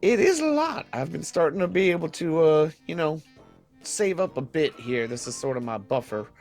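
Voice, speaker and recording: A man's voice: on the high side, big pitch swings, moderate pace, a little mellow, soft background music. A man playing a bookish, fussy wasteland hermit in an improvised game roleplay, hesitant and folksy, rambling and repeating himself. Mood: helpful, wary.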